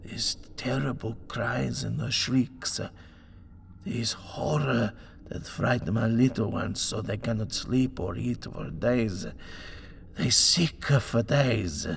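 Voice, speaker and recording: Man voicing a terrified woman, slow and creaky. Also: mid-range voice, slight background noise. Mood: ominous, dread. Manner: halting, fearful, wailing. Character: frightened foreign tenant mother, superstitious, dramatic.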